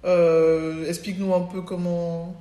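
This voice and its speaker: A woman's voice: fairly low pitch, flat delivery, slow pace, very noisy. A woman hosting a casual interview, speaking in an informal, encouraging, conversational way. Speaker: interviewer, host. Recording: echoey room.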